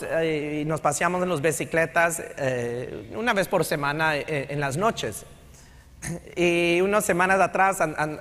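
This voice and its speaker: A man's voice: a little high, a bit bright. A middle-aged man from Texas, a pastor preaching a conversational sermon with a Texan accent, mixing in English phrases. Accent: Texan Spanish with English code-switching. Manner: conversational preaching, switching between Spanish and English. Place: large church sanctuary stage. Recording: long hall reverb in sanctuary.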